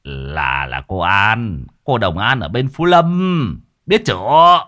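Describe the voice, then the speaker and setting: A man's voice: mid-range voice, very animated, normal speed, pushed and bright. A man voicing an effeminate, petulant male spirit medium in an audio story, flustered, stammering and indignant. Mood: exasperated.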